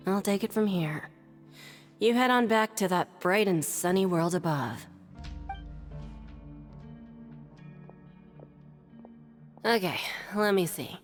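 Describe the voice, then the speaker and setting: A woman playing a jaded, world-weary prison clerk with a dry, sardonic manner in a video game. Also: mid-range voice. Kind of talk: English video game voice acting.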